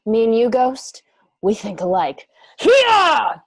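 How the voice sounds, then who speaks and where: A woman's voice: a little high, huge pitch swings, slow, vocal fry, no room sound, full character voice. An actress playing a cocky, defiant young female warrior in a radio drama, brash and taunting. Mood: fierce.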